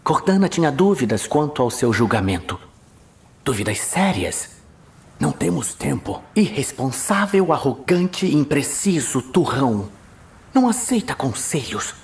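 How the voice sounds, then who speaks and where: A man's voice: mid-range voice, big pitch swings, measured pace, creaky, pitched above the performer's own voice. A man voicing a stern, scornful female warden commander with a cutting, commanding manner in a fantasy audio drama. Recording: music bed behind voice.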